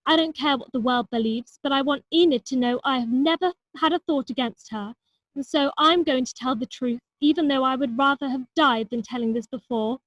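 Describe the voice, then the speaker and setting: A woman's voice: sits high, fairly quick, a bit bright, low-quality recording. A young woman acting a proud, dramatic society lady wronged by gossip in a stage play, earnest and emphatic. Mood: defiant. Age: young adult.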